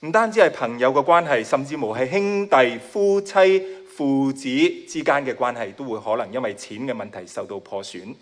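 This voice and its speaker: Hong Kong-accented male preacher, native Cantonese speaker, modest and giggly, pauses often for his interpreter. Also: mid-range voice, big pitch swings. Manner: modest, with shy laughs between phrases. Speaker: guest preacher. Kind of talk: sermon delivered in short chunks for an interpreter.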